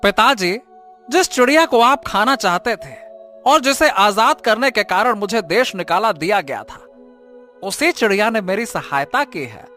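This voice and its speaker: A dutiful young cartoon prince played by a man, earnest and declamatory. His high, bright voice moves animatedly up and down. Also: lively intonation, measured pace, soft background music, full character voice. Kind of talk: cartoon story character voice.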